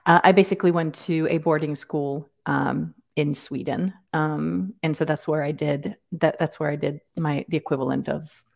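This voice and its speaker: Woman from serbia, native serbian speaker, kinda low voice, chatting casually on a podcast over a headset. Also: steady tone, moderate pace, vocal fry. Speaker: podcast co-host being interviewed. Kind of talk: casual conversational interview. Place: indoor room, on a video call.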